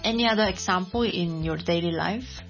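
A woman's voice: fairly low pitch, fairly quick, soft background music. Chatty woman host, dull lo-fi sound. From Hong Kong. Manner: lively, laughs often, many backchannels. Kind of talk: casual interview chat.

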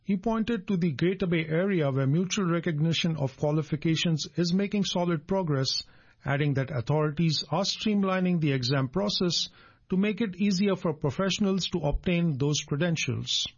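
Male reporter reading news copy, slightly husky, muffled lo-fi sound. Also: on the high side, moderate pace. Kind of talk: scripted news narration.

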